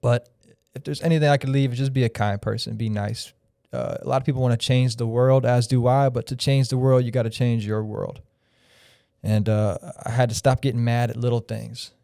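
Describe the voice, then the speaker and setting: A man in his thirties from Florida, talking as a podcast guest. His voice is warm, mellow and resonant, and it sits close and dry on the mic. He rambles in a reflective, freewheeling way. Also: medium pitch, fairly even intonation, brisk, creaky, no room sound. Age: 30s. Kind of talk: casual podcast chat.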